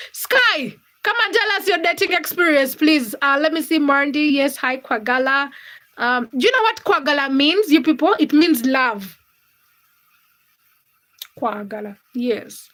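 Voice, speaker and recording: High-voiced woman hosting a chatty livestream. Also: bright, forceful tone. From Uganda and Kenya. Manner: chatty, teasing, addresses viewers by name. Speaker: livestream host. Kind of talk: casual livestream chat reading comments.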